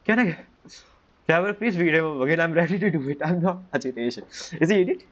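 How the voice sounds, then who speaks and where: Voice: mid-range voice, expressive, quick pace, lo-fi sound. A game streamer giving casual, blunt, scoffing commentary in informal, loosely grammatical speech. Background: game music.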